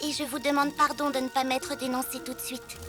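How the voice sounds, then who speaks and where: A woman voicing an impulsive, earnest six-year-old girl superhero, expressive and exclamatory, in a French cartoon dub. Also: high-pitched, fairly quick, natural voice. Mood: sheepish. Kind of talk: French animated series dubbing. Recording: music bed under the dialogue.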